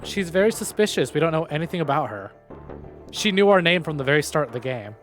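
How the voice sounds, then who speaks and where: A man's voice: fairly high pitch, sing-song, quick pace, soft background music. A man livestreaming a game in a casual, chatty, informal way, talking with his viewers. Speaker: streamer hosting a visual novel playthrough. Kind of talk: casual livestream commentary mixed with reading game dialogue aloud.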